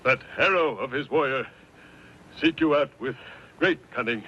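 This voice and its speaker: An actor playing a stern interpreter for a chief in a radio western, speaking halting, clipped broken English. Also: male voice, mid-range voice, sharp tone, husky. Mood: stern, warning. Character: stern Comanche interpreter relaying his chief's threats. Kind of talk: old-time radio western acting. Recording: dull, noisy old radio recording.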